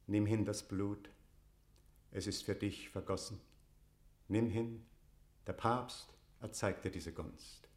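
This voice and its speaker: An actor playing a devout, insistent priest hearing confession, in solemn, declaimed verse for a classical radio drama. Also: male voice, on the low side, a little mellow. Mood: solemn blessing. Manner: solemn, measured, liturgical declamation.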